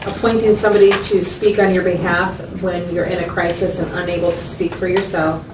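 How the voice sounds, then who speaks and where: A woman's voice: on the low side, level tone, fairly quick, close to an everyday voice. A woman playing a patient, methodical mental health clinician in a role-played consultation, explaining and checking understanding with questions. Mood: patient, explanatory. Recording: echoey, distant mic, noisy room.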